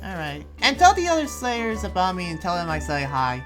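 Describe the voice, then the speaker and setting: Guy acting as a hardworking town boss in anime roleplay, preachy but friendly, kinda halting, loud music under him. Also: sits high, wildly swooping pitch, rough recording. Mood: apologetic, friendly. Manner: halting, rambling, informal advice-giving. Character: earnest hard-working town leader, preachy but friendly. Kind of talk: improvised Minecraft anime roleplay.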